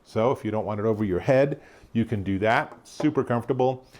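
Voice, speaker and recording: A man's voice: lowish voice, fairly quick, darkish tone. A man giving a casual, conversational product demonstration as an enthusiastic tech reviewer.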